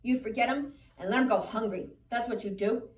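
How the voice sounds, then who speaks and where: A woman's voice: a little high, fairly quick, roomy sound, distant mic, a little hiss or hum, close to an everyday voice, rough recording. A woman reading aloud and voicing a gigantic imaginary rabbit that taunts in a scornful rural drawl.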